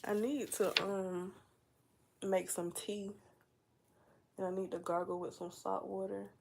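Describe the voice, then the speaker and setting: A woman's voice: mid-range voice, level tone, measured pace, gravelly, a little mellow, a little hiss or hum. A young woman with a stuffy nose from a cold, chatting casually and rambling on a livestream. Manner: chatty, meandering, full of fillers and asides. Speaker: livestream host.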